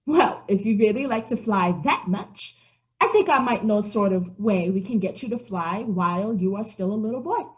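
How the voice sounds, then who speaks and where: A woman voicing an old female alley cat who complains with whiny, drawn-out sighs. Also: medium pitch, animated, lightly acted. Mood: storytelling. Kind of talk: children's story acting.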